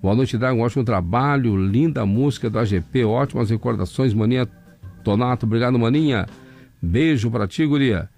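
A man with a southern Brazilian accent hosting an overnight radio show, hoarse from laryngitis, chatting folksily as he reads out listener messages. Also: medium pitch, a little fast, a little mellow, faint music behind. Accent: southern Brazilian, Rio Grande do Sul. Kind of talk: casual radio chat reading listener messages. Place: radio studio.

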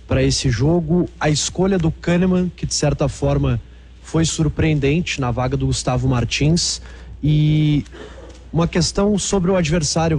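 A man's voice: a little high, darkish tone, husky. A man with a Brazilian accent asking a question as a sports reporter at a press conference.

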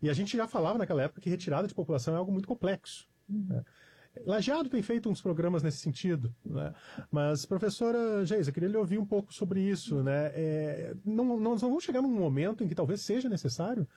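A man's voice: high-pitched, expressive, fast talker, mellow, breathy edge, no echo. Chatty male host. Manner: chatty, filler-heavy questions with rising emphasis. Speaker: radio show host. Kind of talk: live talk show interview.

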